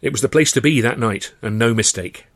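A man's voice: mid-range voice, brisk, no echo. A man reading a first-person novel aloud as scripted audiobook narration. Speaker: author reading his own novel, narrator.

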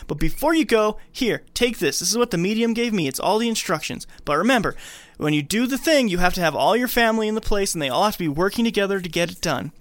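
A man acts out a friendly woman leading a seance in a podcast film recap. The voice is lifted in pitch and dry, coaxing and chatty as it gives instructions. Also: expressive, fast talker, fairly bright tone, no echo. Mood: reassuring, urging.

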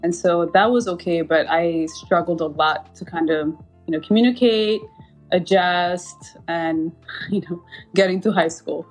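A woman's voice: lowish voice, steady tone, unhurried, soft background music, poor audio. A woman from Ethiopia, in her thirties, a guest recounting her path in a casual interview, talking with many fillers and restarts. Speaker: guest, anesthesiologist.

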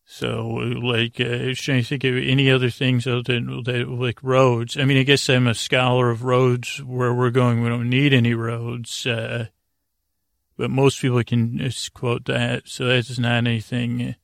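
A man hosting a bedtime podcast, meandering and halting as he rambles, often trailing off mid-thought. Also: medium pitch, completely flat delivery, gravelly, no room sound, studio quality. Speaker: podcast host.

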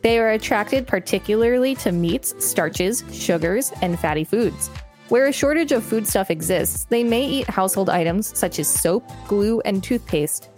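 A woman with a mid-range voice co-hosts a read-aloud podcast. She moves between article narration and casual commentary, with quiet music behind her. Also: mid-range voice, lively intonation, moderate pace. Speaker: podcast co-host reading encyclopedia articles.

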